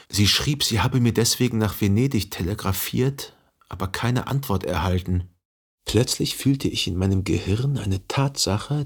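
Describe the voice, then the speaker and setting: A contemplative man recalling his past in a literary radio drama. His voice is fairly low and husky. The narration is measured and reflective. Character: reflective literary narrator, a grown son remembering.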